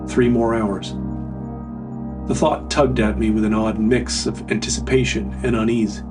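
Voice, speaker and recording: A man's voice: lowish voice, level tone, measured pace, distant mic. A man reading a scripted first-person horror story as a narrator. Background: music.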